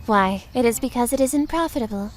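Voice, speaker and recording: A woman playing a mysterious thirteen-year-old telepath girl who speaks like a wise old sage, solemn and measured, in an audio drama. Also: on the high side, a little hiss or hum, close to an everyday voice. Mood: earnest, lecturing. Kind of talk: scripted audio drama acting.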